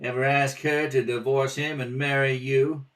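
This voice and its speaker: A man's voice: medium pitch, flat delivery, moderate pace, a bit bright, natural voice. A man playing a blunt, brusque police lieutenant interrogating suspects in an old-time radio detective play. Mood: probing. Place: home kitchen table. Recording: distant home rehearsal recording.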